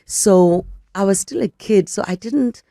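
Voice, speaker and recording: An older woman, a guest on a radio interview, telling her story in a measured, reflective way, a little croaky from a flu. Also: medium pitch, warm tone, no echo, studio quality. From South Africa. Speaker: actress and guest.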